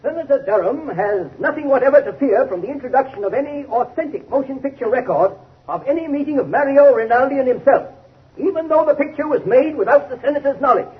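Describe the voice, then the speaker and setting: Very high, emphatic defense lawyer. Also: male voice, expressive, fast. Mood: confident, formal. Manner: formal, protesting, emphatic. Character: indignant, forceful male defense attorney. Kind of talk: 1930s radio acting. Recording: tinny, noisy 1930s radio recording.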